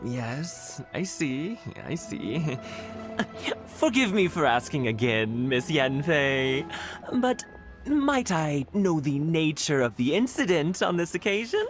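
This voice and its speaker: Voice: medium pitch, very animated, takes it slowly. A voice actor playing a polite, hesitant male shopkeeper in a game who speaks with deference. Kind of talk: game voice acting. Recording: studio voice over game music.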